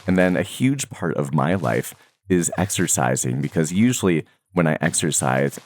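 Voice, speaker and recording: A young man who makes Minecraft videos, talking casually to his viewers in a relaxed, rambling way with many fillers and soft laughs. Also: rumbling low. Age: young adult. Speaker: Minecraft content creator.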